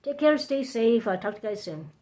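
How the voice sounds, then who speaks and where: A woman with a hoarse, scratchy throat, hosting a rambling late-night livestream chat from home, halting and drifting between topics. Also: a little high, lively intonation, darkish tone. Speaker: livestream host.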